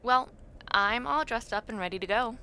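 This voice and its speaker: A young adult woman playing a friendly, teasing university student in a casual audio roleplay, talking to the listener with expressive reactions and drawn-out words. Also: fairly high pitch, a little hiss or hum. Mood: chatty, playful.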